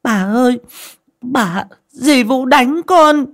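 A man's voice: on the high side, steady tone, slow, mellow, pitched above the performer's own voice. A man voicing a timid, mistreated girl of seven or eight in a story, pleading and tearful. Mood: terrified pleading.

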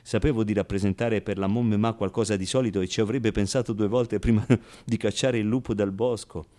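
An actor playing a naive, wistful, streetwise ten-year-old orphan in an expressive, halting radio monologue. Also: male voice, fairly low pitch, natural voice. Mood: wry, confiding. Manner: expressive, halting, conversational storytelling with rhetorical tags. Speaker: solo actor.

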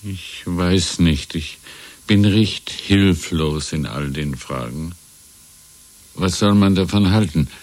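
Deep-voiced, wistful old man. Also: darkish tone. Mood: musing, helpless. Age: older. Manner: halting, musing, repeating himself. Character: wistful, deferential old shopkeeper reminiscing about lost freedom. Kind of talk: 1970s German radio drama acting.

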